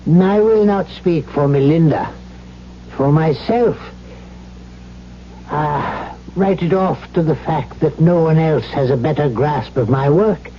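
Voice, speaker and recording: Casting: elderly brooding scientist-father for radio drama, higher-pitched male voice, slow, halting and formal. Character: old doctor, secretive experimenter, fatalistic possessive father. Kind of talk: 1970s radio drama acting. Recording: muffled, noisy 1970s radio broadcast.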